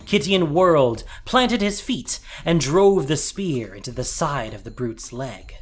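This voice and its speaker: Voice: mid-range voice, animated, unhurried, husky. A narrator reading a scripted dramatic fantasy story, with music behind.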